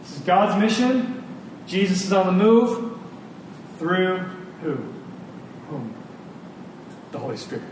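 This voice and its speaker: High-voiced man preaching in echoey room. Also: very animated, takes it slowly, long pauses. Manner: earnest, informal, emphatic repetition. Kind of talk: conversational sermon. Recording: very echoey room, distant mic, clear noise.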